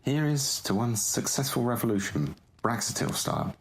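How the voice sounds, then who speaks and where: An actor playing a suave, knowing alien aristocrat and conspirator in an audio drama. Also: male voice, medium pitch, expressive, moderate pace, husky, rough recording. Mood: wry, assured.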